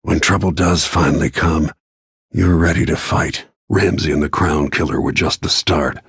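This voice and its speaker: A man voicing a grim, battle-worn middle-aged royal protector and assassin in a video game, with terse, brooding, measured delivery and a gravelly, husky voice. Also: very deep voice, fairly even intonation, a bit bright, no room sound, studio quality, full character voice. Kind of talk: scripted video game character lines.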